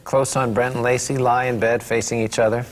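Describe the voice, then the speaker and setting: A man's voice: mid-range voice, little pitch movement, brisk. A man reading stage directions aloud from a script at a table read.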